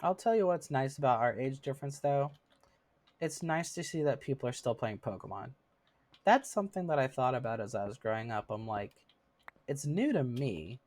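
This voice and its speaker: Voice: medium pitch, lively intonation, moderate pace, darkish tone. A streamer in their thirties chatting casually with viewers while playing a game, laughing often and using many fillers. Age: 30s.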